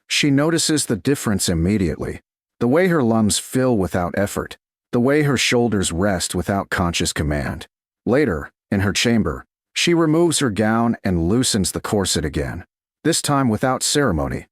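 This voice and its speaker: Low-voiced male romance narrator. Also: no room sound, clean studio sound. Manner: slow, soft, deliberate storytelling. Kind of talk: scripted audiobook narration of a romance.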